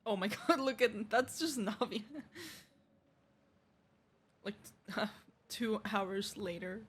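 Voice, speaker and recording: Casting: young female game streamer, casual and reactive, slow-paced delivery, middling pitch. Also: slight background noise. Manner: informal, reactive. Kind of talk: casual live game commentary. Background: game music.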